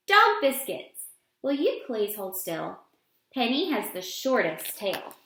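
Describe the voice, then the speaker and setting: Expressive, slow-reading woman in an echoey room. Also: high voice. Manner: deliberate, emphatic phrasing. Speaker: read-aloud storyteller reading a children's picture book. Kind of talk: expressive read-aloud for children. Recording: roomy, echoing sound.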